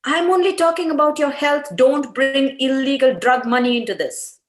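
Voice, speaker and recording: A woman's voice: sits high, normal speed, natural voice. A woman in an amateur table read playing a firm, earnest doctor wife who scolds her husband about his health. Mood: upset, hurt. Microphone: video call. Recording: roomy, echoing video-call audio.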